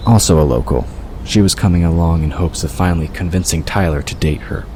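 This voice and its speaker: A man's voice: low-pitched, a little fast, darkish tone. A man reading scripted audiobook narration of a story. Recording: clear noise behind the voice. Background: ambient sound effects.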